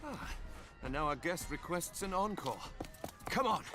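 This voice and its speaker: An actor playing a theatrical adventurer in a fantasy video game cutscene. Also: male voice, high voice, very animated, a little slow. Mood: playful. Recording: game audio under loud music.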